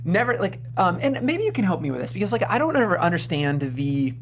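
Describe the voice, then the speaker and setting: A woman's voice: on the low side, big pitch swings, fast, slight background noise. A woman co-hosting a film review show, chatting casually with emphatic, sarcastic exasperation. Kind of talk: casual animated panel chat.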